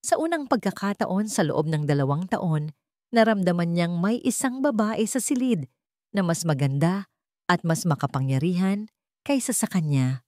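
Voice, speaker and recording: A woman's voice: lowish voice, lively intonation, moderate pace, mellow, no echo, clean studio sound. A woman with a Filipino accent narrating a scripted romantic drama story in a dramatic storytelling style.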